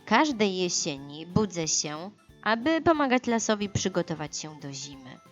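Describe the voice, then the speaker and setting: A playful, kindly spirit of the autumn woods acted by a woman for a children's storybook. It explains gently with big swings of pitch, over soft music in a slightly muffled recording. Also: sing-song, moderate pace.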